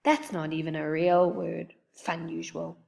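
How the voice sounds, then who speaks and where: A woman voicing a small, nervous, cheeky boy at a storybook camp, squeaky and jumpy. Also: level tone, a little slow, vocal fry, darkish tone, in a large hall, heavily acted. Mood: snickering.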